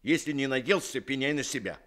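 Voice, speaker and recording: Actor as stern jobless dad, harsh, scolding; radio drama. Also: male voice, mid-range voice, expressive, brisk, fairly bright tone, lightly acted. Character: stern, bitter father out of work. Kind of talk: 1960s Soviet radio drama acting.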